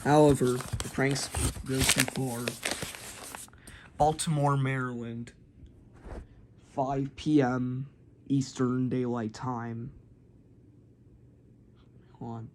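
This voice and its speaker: A man reads amateur mock emergency announcements in a halting, informal way, correcting himself and slipping into casual asides. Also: medium pitch, little pitch movement, a little mellow, slight background noise, rough recording. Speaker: narrator of a homemade emergency alert scenario.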